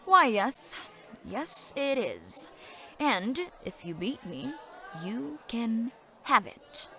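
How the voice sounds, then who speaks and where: A woman's voice: a little high, wildly swooping pitch, very slow, a crowd in the background, exaggerated voice. A woman playing a confident, caring and teasing young adventurer in a fantasy audio drama. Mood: smug, teasing.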